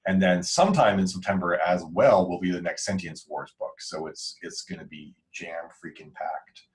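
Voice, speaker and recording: A man's voice: deep voice, moderate pace, poor audio. A man talking casually as an author on a podcast, rambling and often interrupting himself. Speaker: author, podcast crew member.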